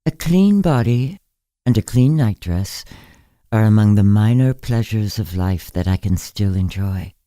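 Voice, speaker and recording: A man voicing a frail, blind woman in her nineties in a story, courteous, frank and deliberate. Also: lowish voice, expressive, warm tone, no room sound, clean studio sound. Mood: grateful. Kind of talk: storybook character reading.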